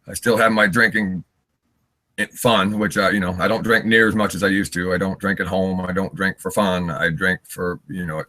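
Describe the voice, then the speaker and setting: Guest: raspy man of fifty, low-pitched and bright-toned, candid and wandering. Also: flat delivery, moderate pace. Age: 50s. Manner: wandering, candid, laughs often. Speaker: interview guest, singer. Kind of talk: rambling live interview chat.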